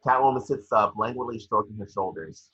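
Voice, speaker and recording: Guy reading out stage directions, lowish husky voice, sharp edge, kinda lo-fi. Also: expressive, brisk. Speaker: narrator reading scene descriptions. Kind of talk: scripted narration of screenplay action.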